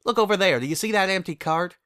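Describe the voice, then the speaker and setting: A firm, caring country mare played by a man from a cartoon pony story. The delivery is folksy and blunt, pitched a little above the reader's own. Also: quick pace, pushed and bright, clean tone. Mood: grave, urgent. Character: plain-spoken, practical country farm mare, caring and firm.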